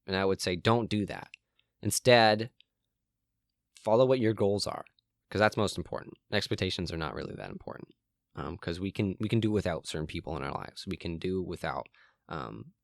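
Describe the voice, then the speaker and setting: A young man hosting a solo podcast from his room, rambling with frequent ums and restarts, his voice a little hoarse and cracking. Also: sits low, animated, normal speed, no echo, clean studio sound. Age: young adult. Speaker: podcast host.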